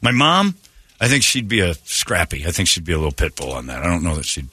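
A man's voice: low-pitched, big pitch swings, fast, pushed and bright, breathy edge. A man hosting a comedy morning radio show, riffing in an irreverent, profane way.

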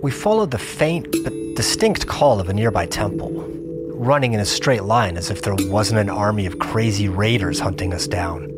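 A man playing a seasoned, weary gamer who narrates a survival adventure as a scripted first-person audio drama, in a measured, intense way. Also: lowish voice, expressive, loud music behind the voice, lightly acted. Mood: grave, suspenseful. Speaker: narrator and lead character.

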